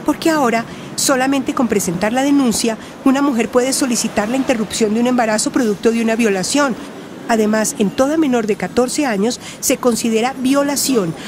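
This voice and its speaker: A woman's voice: fairly high pitch, noisy background, lightly acted. A woman playing an assertive, well-informed neighbour in a radio drama, emphatic and insistent, with a Colombian accent. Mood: emphatic, determined. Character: assertive, well-informed female neighbour championing women's rights.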